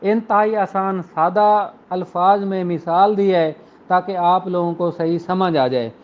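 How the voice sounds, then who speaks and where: A man giving an explanatory lecture in a didactic way, mixing in slowly and deliberately read English phrases. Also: high voice, dull audio. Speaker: law lecturer. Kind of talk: explanatory law lecture with examples, code-switching into English.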